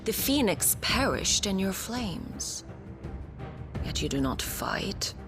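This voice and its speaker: A woman playing a cool, commanding captor in a video game cutscene, speaking in measured, taunting tones. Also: medium pitch, very animated, over music.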